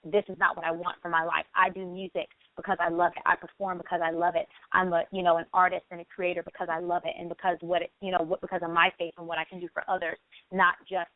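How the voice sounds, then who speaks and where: A woman's voice: fairly low pitch, little pitch movement, fast talker, no room sound. A woman in her late twenties hosting a conversational interview, speaking in an affirming way with frequent "you know" asides. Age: late 20s. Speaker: talk show host. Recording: tinny phone-like radio sound.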